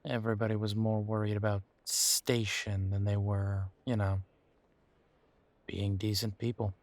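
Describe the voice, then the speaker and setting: A man playing a weary, wry werewolf boyfriend in an intimate audio roleplay, talking casually with hesitant, trailing pauses. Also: on the low side, level tone. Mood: weary, bitter. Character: weary, wry male werewolf boyfriend estranged from his family. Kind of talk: intimate roleplay audio drama, conversational monologue.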